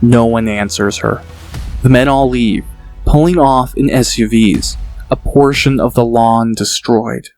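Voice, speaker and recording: A man narrating an audiobook in a steady, descriptive storytelling manner. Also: medium pitch, unhurried, faint music behind.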